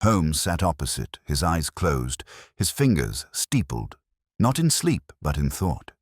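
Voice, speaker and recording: Very deep-voiced man narrating, dry close sound. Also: sing-song, a little slow, husky, no echo. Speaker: audiobook narrator. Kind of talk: scripted narration of a Victorian detective story.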